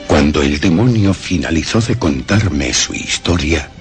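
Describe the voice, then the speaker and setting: Very deep, solemn Castilian male storyteller. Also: animated. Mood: solemn wonder. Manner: grave, theatrical, emphatic phrasing with dramatic pauses. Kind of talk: dramatic radio drama narration of a horror tale. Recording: music under the voice.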